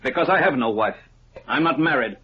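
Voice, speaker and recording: An actor playing an indignant, haughty attorney in a 1950s radio drama, speaking in a curt, affronted manner. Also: male voice, medium pitch, lively intonation, brisk, very noisy, natural voice. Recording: tinny, band-limited vintage radio recording.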